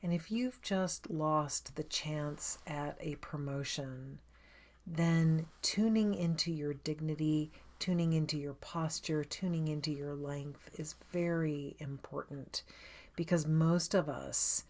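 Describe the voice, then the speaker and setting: A woman leading a guided meditation as a coach, with a soothing, unhurried and inviting manner of gentle instruction. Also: low-pitched, steady tone.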